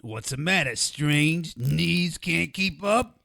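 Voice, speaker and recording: An actor playing a funny-looking little magical man in an audio drama, wisecracking, sarcastic and lecherous, with a raspy, creaky voice. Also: on the high side, expressive, slow pace, bright, forceful tone, heavily acted. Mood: sardonic. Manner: sarcastic, griping, exclamatory.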